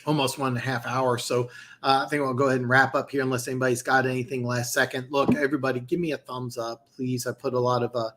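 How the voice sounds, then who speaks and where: A man's voice: medium pitch, flat delivery, quick pace, fairly bright tone, a little hiss or hum. Rambling man losing his voice. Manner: rambling, many restarts and fillers. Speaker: host of a football picks show. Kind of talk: casual live-stream chat.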